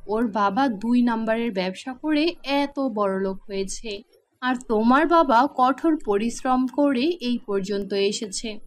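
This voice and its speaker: A woman voicing a patient, honest mother in a cartoon fairy tale, gently firm and admonishing. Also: on the high side.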